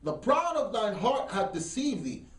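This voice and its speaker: Male scripture reader, fairly high and lively voice, roomy room sound heard from off-mic. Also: unhurried, far from the mic. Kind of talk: reading King James verses aloud. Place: studio set with dark backdrop.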